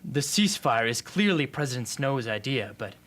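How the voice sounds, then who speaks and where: An earnest, defiant young rebel man, played by an amateur actor, speaking as a loyal friend in a radio play. His voice has a medium male pitch. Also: big pitch swings, moderate pace, a bit bright. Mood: serious, measured. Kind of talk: amateur radio drama acting.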